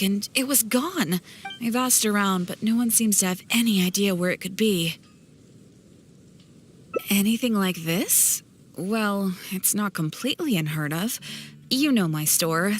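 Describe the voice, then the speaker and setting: A woman's voice: mid-range voice, fairly bright tone, a little hiss or hum, natural voice. A woman voicing a polite, composed shopkeeper with a slight worry, in a video game. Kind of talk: video game character voice acting.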